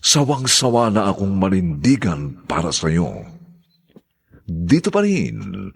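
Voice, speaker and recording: A man's voice: sits low, big pitch swings, takes it slowly, vocal fry, slightly rough, no echo. A man with a Filipino accent announcing a radio drama from a script in a formal, theatrical manner.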